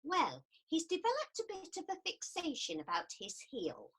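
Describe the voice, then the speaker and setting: A woman's voice: high-pitched, huge pitch swings, moderate pace, a bit bright, exaggerated voice. A woman playing an earnest, eager and caring new counsellor in a comedic radio play, with a prim manner. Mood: earnest, concerned.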